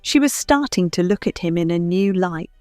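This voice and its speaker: A woman's voice: on the low side, animated, normal speed, warm tone, no room sound. Woman narrating a children's storybook, dry sound. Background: faint music.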